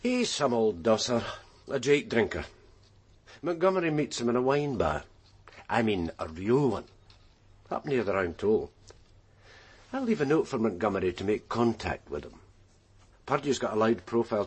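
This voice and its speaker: An actor playing a gruff, wry police detective with a husky voice in a radio crime drama. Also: male voice, mid-range voice, a little mellow.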